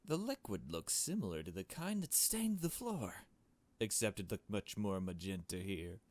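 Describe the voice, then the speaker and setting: Give me a deep-voiced man playing through a visual novel, reading it out conversationally with wry little asides. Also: big pitch swings, normal speed. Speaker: gaming video host. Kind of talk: casual playthrough commentary mixed with reading visual novel text aloud.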